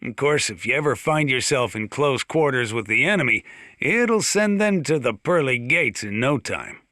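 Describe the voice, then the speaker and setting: Folksy small-town sheriff, bright forceful voice, dry close sound, boastful conversational game radio monologue. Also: male voice, mid-range voice, animated, moderate pace, dry recording. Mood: relaxed, boastful. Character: folksy gun-loving small-town sheriff chatting to outsiders. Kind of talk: scripted game radio monologue, gun talk.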